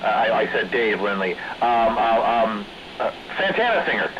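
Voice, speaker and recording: A middle-aged man in casual chat over CB radio, speaking in halting, hesitant phrases. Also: on the low side, sing-song, lo-fi sound. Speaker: CB radio operator. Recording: noisy CB radio transmission.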